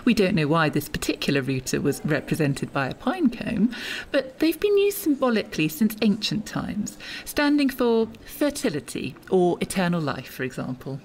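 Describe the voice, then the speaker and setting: A woman's voice: on the low side, wildly swooping pitch, normal speed. A stern museum official in a radio play. She speaks formally and curtly, with very varied intonation and a little background noise. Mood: curt, precise. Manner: formal, curt, correcting. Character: brisk, stern, precise female museum official. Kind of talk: audio drama acting.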